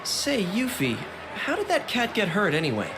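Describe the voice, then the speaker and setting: A performer, heard as a woman, playing a gentle, earnest teenage boy in an anime audio drama, polite and hesitant. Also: mid-range voice, wildly swooping pitch, moderate pace, a crowd in the background, background noise.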